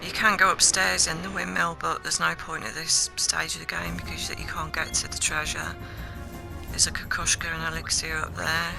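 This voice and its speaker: Creaky, low-key game walkthrough narrator over music. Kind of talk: casual video game walkthrough commentary. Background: video game music.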